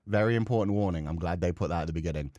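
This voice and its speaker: A man livestreaming a horror game, chatting casually and excitably and swearing freely. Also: low voice, very fast, no echo, lo-fi sound. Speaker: game streamer.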